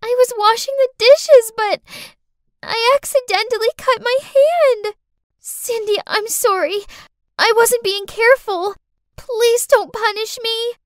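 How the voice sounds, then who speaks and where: A high, wailing little girl's voice that swings wildly between tearful pleas and sly taunts, as a woman puts her on. She sounds small and manipulative, recorded close and dry for an animated story. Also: measured pace, hardly any pauses, dry recording, exaggerated voice. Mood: tearful pleading. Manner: whiny, pleading child voice.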